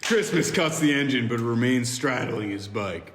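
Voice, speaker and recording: A man's voice: mid-range voice, expressive, normal speed, a bit bright. A man reading screenplay directions aloud in plain, amateur narration at a podcast table read. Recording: echoey room, long reverb, background noise.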